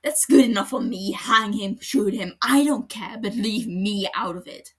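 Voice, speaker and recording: Woman reading an angry cop guy, slow and loud, breathy voice, scornful. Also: medium pitch. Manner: furious, scornful, shouting. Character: angry blunt adult male detective. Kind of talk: podfic character reading.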